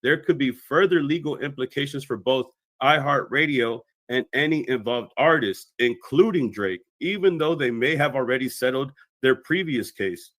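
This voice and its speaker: A man's voice: mid-range voice, normal speed, hardly any pauses, fairly bright tone, no room sound. A man delivering scripted explanatory commentary as a video commentator.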